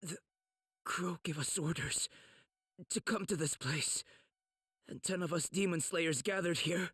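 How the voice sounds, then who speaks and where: A man's voice: on the high side, unhurried, fairly bright tone, husky. A man voicing a desperate young demon-slayer soldier in an anime game, anxious and pleading. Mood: shaken. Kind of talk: anime game cutscene acting.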